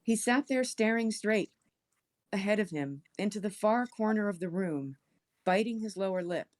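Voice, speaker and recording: Female storyteller for spooky read-aloud narration, medium pitch with animated, expressive movement. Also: normal speed. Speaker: story reader on a stream.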